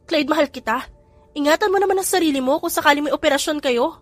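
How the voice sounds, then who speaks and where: A woman voicing an exasperated young mother who scolds the man she loves, in a high emphatic voice. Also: quick pace, dry recording, soft background music, lightly acted. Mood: worried pleading. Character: exasperated loving young mother, sharp-tongued.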